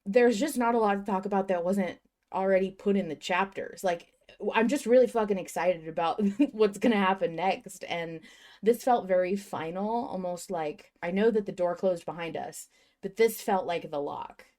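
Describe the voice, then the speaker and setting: A woman's voice: medium pitch, normal speed, a little mellow. A woman hosting a casual fan podcast, talking in an emphatic, slangy way with plenty of swearing. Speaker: podcast host.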